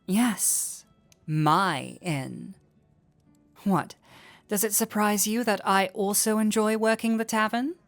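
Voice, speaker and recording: A woman's voice: mid-range voice, takes it slowly, soft background music. A woman playing a flirty, teasing tavern innkeeper in an intimate fantasy audio roleplay, with a coy, playful manner.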